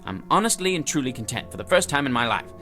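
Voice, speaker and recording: An actor playing a fussy, lonely, self-satisfied office worker who dislikes people, in theatrical audio drama style. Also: male voice, mid-range voice, big pitch swings, quick pace, sharp tone, faint music behind. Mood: indignant, pompous. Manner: pompous, fussy, muttering to himself.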